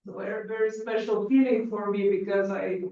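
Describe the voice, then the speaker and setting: A woman from Bulgaria giving a math conference lecture, halting, with many restarts and fillers. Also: medium pitch, flat delivery, warm tone. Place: lecture hall. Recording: echoey hall, distant lo-fi mic.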